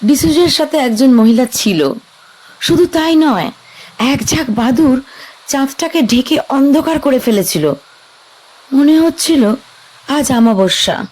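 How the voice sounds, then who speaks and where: A young woman in a horror radio play, frightened and insistent, her voice high and pressing, played by an actress. She sounds urgent and on edge throughout. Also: expressive, a little slow, long pauses, darkish tone, rough recording. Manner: high, urgent and tense delivery. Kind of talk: audio drama acting.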